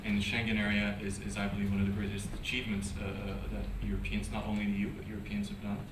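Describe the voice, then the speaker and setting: A lower-voiced man talks on a panel in a conference hall, hesitant and full of fillers and restarts. He is picked up from a distance, with echo and noise around him. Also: completely flat delivery, fast. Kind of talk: panel discussion.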